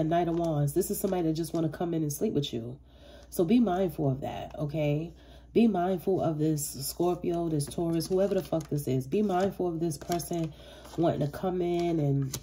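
A woman's voice: low-pitched, mellow, slight background noise. A woman with a stuffy, congested voice giving a casual tarot reading in loose, slangy, blunt talk that turns profane. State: stuffy from allergies and a cold. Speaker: tarot reader.

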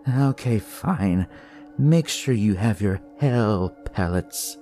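A man voicing a giddy, sinister teenage boy cultist in an excitable manner for an audio story. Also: fairly low pitch, slow, faint music behind. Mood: grudging. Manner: excitable, salivating.

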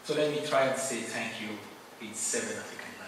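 Man from Cameroon, native Yemba speaker, earnest acceptance speech, measured pauses, distant mic, echoey hall, room noise. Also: fairly low pitch. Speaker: award recipient giving acceptance speech. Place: large hall.

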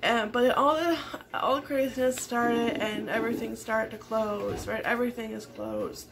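A woman's voice: a little high, fairly even intonation, normal speed, vocal fry, noisy background. A woman in her thirties chatting casually to camera in her home kitchen, rambling and laughing often. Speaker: vlogger. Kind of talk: casual morning chat to camera.

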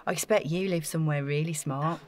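A woman's voice: deep voice, fairly even intonation, brisk, a little mellow, natural voice. An actress playing an eager, self-effacing young woman in a naturalistic audio drama, talking in a chatty, gushing way. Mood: nervously confiding.

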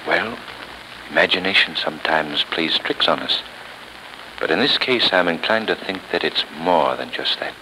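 An actor playing a confident, shrewd gentleman sleuth and secret avenger, measured and dramatic in 1930s radio style. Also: low-pitched, lightly acted. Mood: calm explaining. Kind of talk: 1930s radio mystery acting. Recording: noisy 1938 radio recording.

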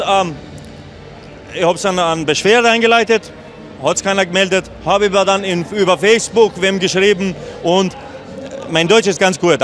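Guy in his 30s, post-fight interview, rambly and blunt, kinda high voice, handheld mic. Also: fairly bright tone. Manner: rambling, blunt, restarts and mixes in English. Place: event venue backdrop, indoors.

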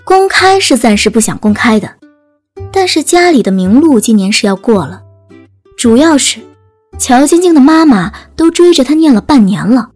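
A woman's voice: high-pitched, sing-song, normal speed, darkish tone, soft background music. A woman narrating a scripted audiobook story.

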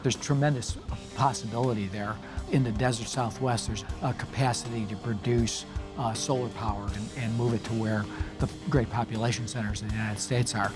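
Regular-pitched guy in a documentary interview, music really loud behind him. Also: steady tone, fairly quick. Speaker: expert interviewee.

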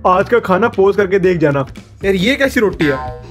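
A man's voice: high voice, lively intonation, normal speed, music in the background. A young man in his twenties chatting playfully on a casual family vlog, filming himself with a phone at arm's length in a small bedroom. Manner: playful, teasing, laughs often. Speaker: vlogger. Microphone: phone camera at arm's length.

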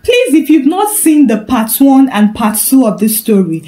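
High-pitched, animated woman storyteller. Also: normal speed. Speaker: storyteller and channel host. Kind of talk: scripted folktale narration.

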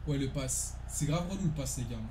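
A man's voice: mid-range voice, a little fast, echoey room, background noise. A young man from France, a streamer chatting casually and in slang while he plays a football video game. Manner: slangy, informal, talking to viewers. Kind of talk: casual live gaming chat with slang. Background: football video game audio and commentary.

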